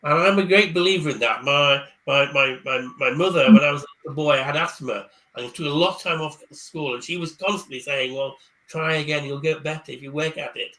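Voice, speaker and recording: A man on a video call, giving casual career-book advice, often stumbling over repeated words before rushing ahead. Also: a little high, bright, forceful tone, low-quality recording. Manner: hesitant, repeats words, then speeds up. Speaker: career coach guest speaker. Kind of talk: casual chat on a live online panel.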